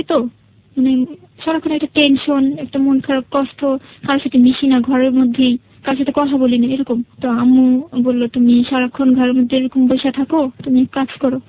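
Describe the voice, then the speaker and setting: A woman with a Bangladeshi accent telling her life story as a radio interview guest, in halting, repetitive, colloquial speech. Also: high-pitched, phone-quality sound. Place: radio studio.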